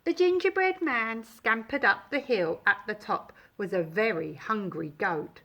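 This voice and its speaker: A woman's voice: mid-range voice, a little slow, fairly bright tone. A woman reading a children's story aloud in a dramatic, emphatic storytelling style.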